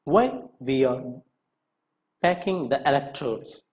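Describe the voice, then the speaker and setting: A man's voice: medium pitch, animated, takes it slowly, darkish tone, dull audio. Man reading out a question-and-answer lesson. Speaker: instructor.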